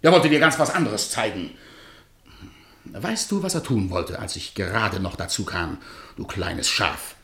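Blustering, scolding guardian, very animated. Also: male voice, lowish voice, unhurried, echoey room, heavily acted. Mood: scolding, sarcastic. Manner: stern, sarcastic, lecturing. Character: strict, jealous male actor-guardian, blustering and scolding. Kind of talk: theatrical radio drama acting.

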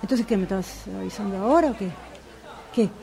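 A woman's voice: medium pitch, a little fast, gravelly, a faint crowd, close to an everyday voice. A woman with an Argentine accent acting an exasperated, anxious shop owner in an amateur radio play, insistent and pleading. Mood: incredulous. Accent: Argentine Rioplatense.

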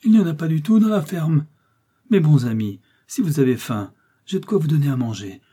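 A man's voice: fairly high pitch, lively intonation, brisk, dark tone, breathy edge, pitched above the performer's own voice. A man voicing a frightened, pious peasant mother, trembling and imploring as in an audio storybook. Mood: pleading. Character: terrified pious peasant mother pleading for her child.

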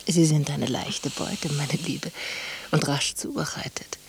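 A woman's voice: deep voice, fairly even intonation, slow, vocal fry, whispered, exaggerated voice. An actress playing a seductive, courtly woman who flirts in formal, teasing, ornate phrases in a German radio play.

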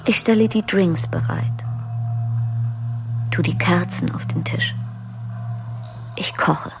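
A woman's voice: fairly low pitch, takes it slowly, creaky. An actress playing a jilted woman who waits for her absent lover, speaking in an intimate, half-whispered, bitter monologue in a radio play. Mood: wistful. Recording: studio radio play with music.

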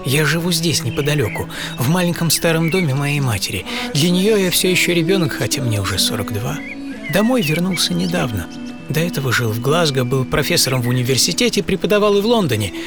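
A witty, self-assured philosopher of forty-two in a radio drama. His fairly high voice swings widely and theatrically, with dry irony, over a music bed. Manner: ironic, theatrical, swinging from musing to excited exclamation. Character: witty, self-assured 42-year-old Scottish philosopher musing aloud. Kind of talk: first-person dramatized monologue, radio play.

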